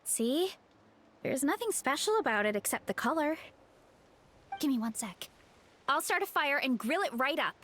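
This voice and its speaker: A woman playing an earnest, sweet young food-loving heroine in a video game, with a gentle manner. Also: high-pitched, very animated, normal speed, pauses often, slight background noise. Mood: cheerful. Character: earnest, cheerful young woman who loves food and her tribe.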